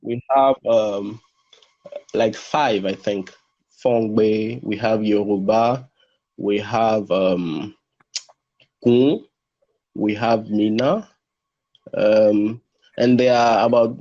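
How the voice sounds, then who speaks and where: A man's voice: fairly even intonation, very slow, pauses often, dark tone. A young man, a French speaker, answering interview questions in a hesitant way with many restarts. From Benin. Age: young adult. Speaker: translation student guest. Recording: muffled low-fidelity audio.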